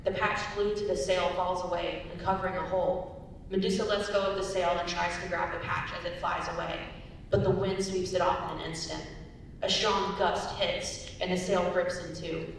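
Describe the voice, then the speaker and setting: Low, creaky young woman narrating, echoey hall. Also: level tone, normal speed. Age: young adult. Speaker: narrator reading stage directions. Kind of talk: scripted narration. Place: large echoey indoor performance space. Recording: very echoey hall, distant mic, rumbly noise.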